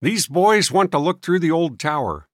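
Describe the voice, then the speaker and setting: A man voicing a sour, scornful old maiden sister in an audiobook, with a high, cracked voice. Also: animated, normal speed, no room sound, clean studio sound, close to an everyday voice. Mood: cranky, demanding. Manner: sniffing, scornful, scolding. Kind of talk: audiobook character voice.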